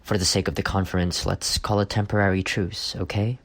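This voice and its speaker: A man playing an arrogant, sardonic office rival with a soft spot, in an intimate roleplay audio drama. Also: low voice, level tone, brisk. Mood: smug, teasing. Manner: teasing, sardonic, stammers when caught off guard. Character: arrogant, bantering male office rival turned flustered admirer.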